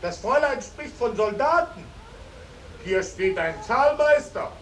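An actor playing a proud, stiffly polite soldier sweetheart in a 1950s German radio drama. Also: male voice, sits high, expressive, a little slow, fairly bright tone. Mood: proud, hopeful. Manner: stiffly polite, speaks in third person. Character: Simon, formal, proud, courteous returning soldier sweetheart. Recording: roomy, distant 1950s radio recording, faint hiss.

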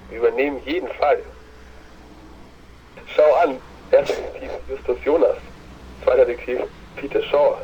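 A man playing a gruff, blunt and easily outraged newsagent over the telephone in a German audio drama. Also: mid-range voice. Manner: blunt, grumbling, sarcastic. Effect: telephone filter. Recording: muffled telephone sound with faint hiss.

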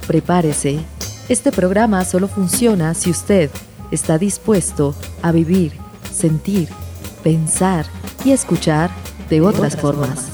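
A woman announces a radio program from a script. Her voice is mellow and fairly low, with light music underneath. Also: takes it slowly.

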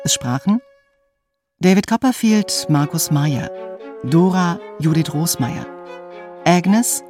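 A woman reading scripted radio drama credits as announcer, formal and measured. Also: fairly low pitch. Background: violin music.

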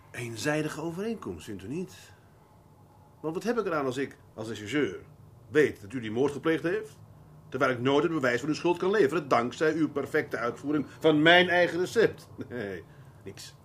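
An actor playing a seasoned, middle-aged police detective in a radio drama, measured and formally polite with a dry, ironic edge and a husky voice. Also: male voice, mid-range voice, lively intonation, fairly bright tone, a little hiss or hum, natural voice. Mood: ironic, probing. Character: seasoned senior police detective, patient, wry, probing. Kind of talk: Dutch radio drama acting.

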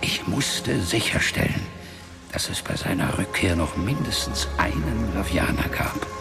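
Casting: ancient wizard father, grave fantasy radio-play figure, very low breathy voice, unhurried, over prominent music. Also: lively intonation, heavily acted. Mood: grave, recounting. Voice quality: gravelly, breathy half-whispering voice. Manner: grave, measured storytelling. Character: ancient solemn father, powerful wizard of a magical race. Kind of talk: fantasy radio drama acting. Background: background music.

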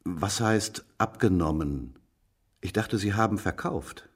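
An actor playing a brisk, persistent police detective in a German radio drama, with a businesslike, probing manner. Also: male voice, low voice, breathy edge, exaggerated voice.

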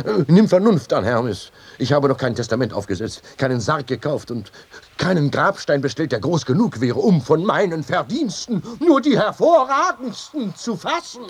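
An actor playing a boisterous, cheeky mortal winegrower who wheedles in mock-pompous, declamatory style in a comic radio play. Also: male voice, fairly high pitch, very animated, normal speed, slightly rough, heavily acted. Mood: panicked protest. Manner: declamatory, mock-pompous, swinging from bluster to pleading. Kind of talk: theatrical radio play acting of ancient comedy.

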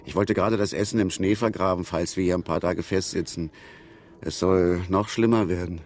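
Radio crime drama: obliging, down-to-earth innkeeper, slightly deep, light ambience. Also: male voice, moderate pace, a little mellow, a little hiss or hum. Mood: matter-of-fact. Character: matter-of-fact motel keeper, helpful, apologetic.

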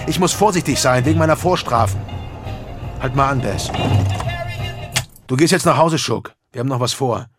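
A man plays a foul-mouthed, bullying criminal stepfather in a radio drama. His delivery is gruff and commanding, in a slightly high male register. Prominent music runs behind him. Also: natural voice. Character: violent, foul-mouthed ex-convict stepfather, petty crime boss. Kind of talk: German radio drama acting.

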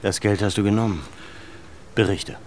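A composed gentleman with a fairly low voice, played by a male actor in a radio play. He questions in a measured, deliberate way, with faint noise behind him. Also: fairly even intonation, close to an everyday voice. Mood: wary, questioning. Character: composed, probing Armenian gentleman, addressed as effendi. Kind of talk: radio drama acting.

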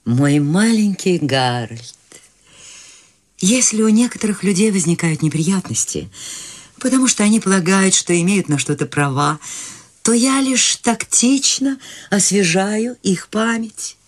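An actress playing Maude, an eccentric, free-spirited older woman, lively and teasing, in a radio play. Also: mid-range voice, a little slow.

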